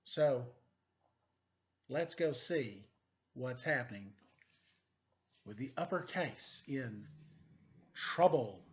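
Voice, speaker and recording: A man's voice: mid-range voice, lively intonation, very slow, pauses often, lo-fi sound. A middle-aged man reading a story aloud for children in a playful, quizzing manner. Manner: playful, quizzing young listeners. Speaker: story-time teacher reading a picture book aloud.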